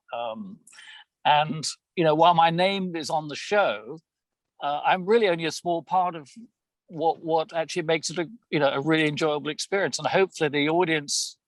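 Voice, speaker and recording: A man's voice: on the high side, no echo. A man chatting casually and effusively as an interview guest from inside a car, often repeating words as he goes. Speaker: lead voice actor of an audio theater show, podcast guest. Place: moving car, as a passenger.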